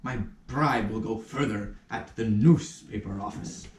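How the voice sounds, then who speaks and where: A man playing a pompous, flustered army major in a puppet show, theatrical and full of drawn-out exclamations. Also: fairly low pitch, slight background noise, natural voice. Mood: bewildered. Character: flustered pompous army major who lost his nose. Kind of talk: puppet show stage acting in rehearsal. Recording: roomy echo, somewhat distant mic.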